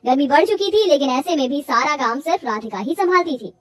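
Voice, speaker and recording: A woman's voice: sits high, animated, fairly quick, slight background noise. A woman storyteller giving scripted narration for a cartoon moral story.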